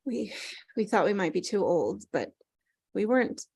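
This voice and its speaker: An actress plays an older mother in grief, recorded dry and close. She is earnest and compassionate, and she speaks haltingly, feeling for each word in a scripted drama. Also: mid-range voice, sing-song, vocal fry, darkish tone, husky, no room sound, heavily acted. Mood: wistful, halting. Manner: halting, searching for words. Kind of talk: scripted drama table read.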